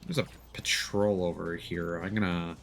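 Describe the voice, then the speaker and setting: Low-voiced man streaming a game. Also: steady tone, moderate pace, gravelly, fairly bright tone, a little hiss or hum. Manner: explanatory, thinking aloud. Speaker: streamer, gamer. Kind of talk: casual live game commentary.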